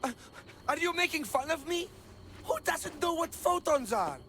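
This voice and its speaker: An actor playing an eager, fussy botanist in a video game, wordy and pedantic, easily flustered. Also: male voice, extremely high pitch, animated, normal speed, bright, forceful tone, slight background noise, full character voice. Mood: flustered, puzzled. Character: eager, fussy male botanist of a mythical city, absorbed in theory. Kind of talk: video game cutscene acting.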